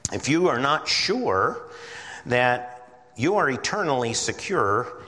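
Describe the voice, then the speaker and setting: A man's voice: medium pitch, sharp tone. Big-voiced male preacher in a reverberant hall. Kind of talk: evening Bible-teaching sermon. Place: large reverberant room with a congregation.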